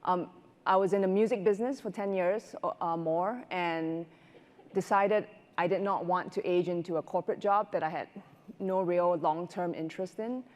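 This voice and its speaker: A middle-aged woman from Singapore answering conversationally as a panelist, halting as she thinks aloud, on a lapel mic on a large stage. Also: fairly low pitch, fairly even intonation, a little mellow. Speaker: panelist, restaurateur and business owner. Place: large indoor conference stage. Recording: echoey auditorium stage, long reverb.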